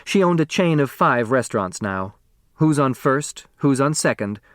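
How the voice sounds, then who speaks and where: Male audiobook narrator, mid-pitched, expressive storybook read, very dry sound. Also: moderate pace, no echo.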